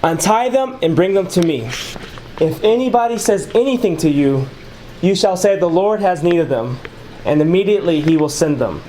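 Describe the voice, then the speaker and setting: A man's voice: sits high, lively intonation, moderate pace, warm tone, a little hiss or hum, low-quality recording. A man leading an informal Bible study, reading scripture passages aloud and explaining them conversationally to a small group. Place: small room with a group of listeners.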